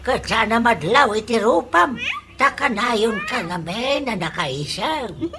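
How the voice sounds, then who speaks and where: A woman playing a flattering older neighbour in a radio comedy, teasing in a drawling Ilocano accent. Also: a little high, measured pace, noisy background. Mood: flattering, amused. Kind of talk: comedy radio drama acting.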